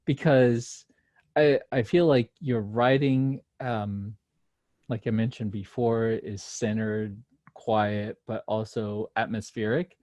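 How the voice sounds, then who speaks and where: A man hosting a casual interview, phrasing his questions and comments tentatively, in halting pieces. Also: lowish voice, steady tone, darkish tone, no echo.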